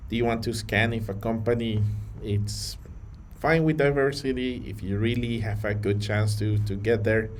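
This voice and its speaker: A man from Colombia, earnest and measured, talking to camera with a few fillers and restarts. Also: fairly low pitch, steady tone, a little hiss or hum. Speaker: vlogger on data careers. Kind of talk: to-camera talk.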